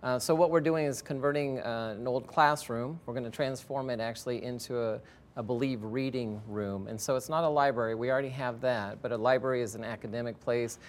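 A man's voice: mid-range voice, steady tone, brisk. A man, a school educator, answering interview questions in a plainspoken way, repeating phrases for emphasis.

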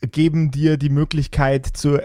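A man narrating an improvised tabletop role-playing game as game master, descriptive and measured, pausing between phrases. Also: a little high, flat delivery, dark tone, dry recording, clean studio sound.

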